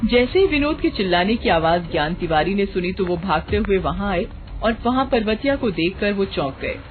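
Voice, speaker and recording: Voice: medium pitch, lively intonation, normal speed. Horror story narrator, tinny phone-like sound.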